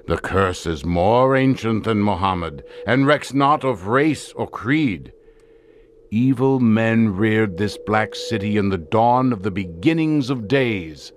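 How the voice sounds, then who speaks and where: A man's voice: on the low side, expressive, slow, slight background noise. An actor playing a grave desert tribesman who solemnly tells of an ancient curse in archaic, portentous language for an audio drama. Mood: grave, foreboding. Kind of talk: theatrical audio drama storytelling.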